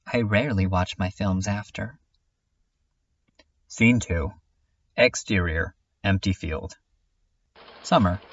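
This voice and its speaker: A voice narrating a fan dub in a slow, flat, drifting monologue, with a soft, hushed delivery. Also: medium pitch, highs cut off, a little hiss or hum. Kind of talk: slow monologue read over music. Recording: distant voice under loud music.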